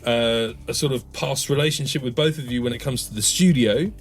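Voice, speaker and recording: Chatty male podcast host, mid-pitched. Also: a little fast. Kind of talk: casual chat interview.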